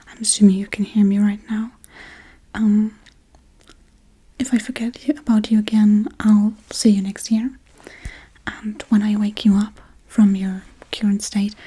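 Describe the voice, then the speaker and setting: A woman voicing a playful, forgetful, menacing witch in a hushed, coaxing, whispery ASMR role-play. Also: mid-range voice, poor audio. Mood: absent-minded, teasing. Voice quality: breathy, whispery.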